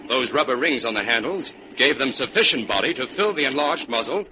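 An actor playing a confident, shrewd crime-fighting investigator in an old-time radio drama, explaining things in a crisp, declarative way. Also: male voice, medium pitch, lively intonation, brisk, close to an everyday voice. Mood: commanding, assured. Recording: dull, noisy old radio recording.

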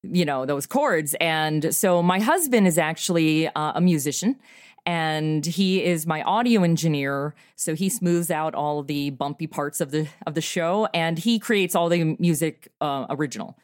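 A woman gives a casual interview as a podcast creator. Her voice is on the low side, and the sound is dry, with no room echo. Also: measured pace.

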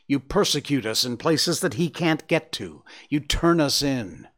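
A man's voice: medium pitch, sing-song, measured pace, a bit bright, voice pushed up. A man voicing a wounded, dying male fox in a storybook, imploring and bitterly reproachful. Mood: bitter contempt. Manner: imploring, lamenting, later snarling and hissing.

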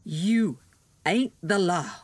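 A woman's voice: on the low side, expressive, extremely slow, dry recording, heavily acted. An actress playing an embittered, defiant rural farm woman in an audio drama, with folksy, scornful speech. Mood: bitter defiance.

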